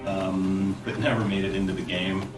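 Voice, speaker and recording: A low-voiced man talks easily over game footage, relaxed and agreeable as he looks back. His voice is roomy and a little distant from the mic. Game music runs underneath. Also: normal speed. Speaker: art director.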